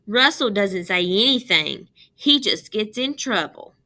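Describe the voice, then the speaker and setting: A woman's voice: mid-range voice, lively intonation, measured pace, pushed and bright. A woman voicing a sincere, thoughtful young schoolgirl in a storybook, speaking simply and earnestly with emphasis. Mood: matter-of-fact. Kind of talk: storybook read-aloud.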